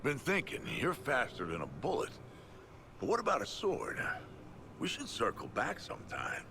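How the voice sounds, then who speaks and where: Brief: a wry, gravelly soldier for hire, low voice, rises and dips a lot. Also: male voice, huge pitch swings, normal speed, a little hiss or hum. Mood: sardonic. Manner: dry, sardonic. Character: gruff male mercenary, wry and sardonic.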